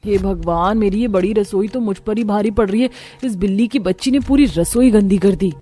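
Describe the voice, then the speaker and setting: A woman's voice: mid-range voice, fairly quick, dark tone, slight background noise, close to an everyday voice. A woman playing a fretful, competitive rich younger daughter-in-law in a cartoon family story. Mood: exasperated. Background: background music.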